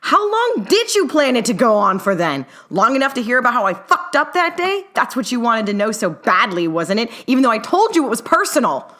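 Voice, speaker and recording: A woman voicing a hot-tempered, foul-mouthed young male scientist in a story, shrill, slangy and outraged. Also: sing-song, fairly quick, hardly any pauses. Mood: hurt, angry. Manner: shrill, shrieking and shouting, slangy. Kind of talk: dramatic fiction reading.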